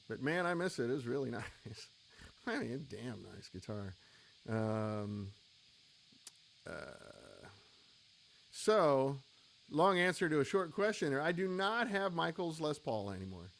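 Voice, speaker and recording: A man's voice: medium pitch, creaky, fairly bright tone. A man in his late fifties, an amp maker hosting a live web show, chatting candidly and reading out viewer comments, with lots of ums and uhs as he rambles on. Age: 50s. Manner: rambling and candid, with many fillers.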